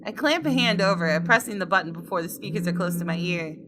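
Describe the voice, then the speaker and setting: A woman's voice: on the low side, lively intonation, fast, heavy background noise. A woman game streamer reading visual novel text aloud, dramatically and with playful outbursts. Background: game music with guitar.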